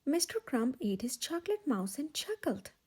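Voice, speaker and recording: High, animated woman reading a children's story. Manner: expressive, dramatic emphasis with drawn-out words. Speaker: children's storybook reader and channel host. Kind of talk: animated children's read-aloud narration.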